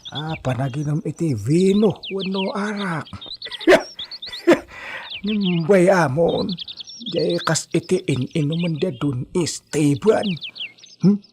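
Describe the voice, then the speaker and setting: Man playing spry nonagenarian village grandpa, Ilocano accent, unhurried folksy drawl, comedy radio drama, faint noise and birdsong. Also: on the high side, expressive. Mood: chatty, advising. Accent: Filipino, Ilocano. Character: spry humble old grandfather farmhand in his nineties. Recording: noisy track with bird chirps.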